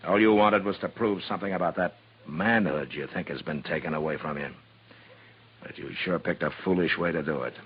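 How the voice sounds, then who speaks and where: An actor playing a steady, authoritative frontier marshal in a 1950s radio Western, speaking in a terse, firm way. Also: male voice, low-pitched, fairly quick, husky. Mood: stern. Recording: muffled, hissy 1950s radio recording.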